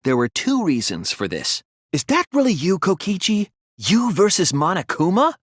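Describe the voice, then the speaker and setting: Casting: a thoughtful teen boy sleuth for a game dub; earnest, deliberate, slightly breathy, very dry close sound. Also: high voice, wildly swooping pitch, no room sound, exaggerated voice. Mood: urgent, alarmed. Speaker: video game voice actor. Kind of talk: English video game dub, dramatic deduction dialogue.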